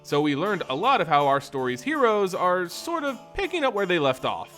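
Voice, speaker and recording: A man narrating a scripted fantasy story and recap in a dramatic, expressive way. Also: high voice, normal speed, soft background music. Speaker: narrator and host of a lore channel.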